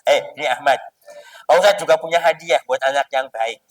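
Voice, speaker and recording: A man's voice: on the high side, a little fast, no echo, far from the mic, voice pushed down, natural voice, low-quality recording. A man voicing a kindly, grateful, easily flustered male religious teacher who exclaims and repeats himself in a children's story.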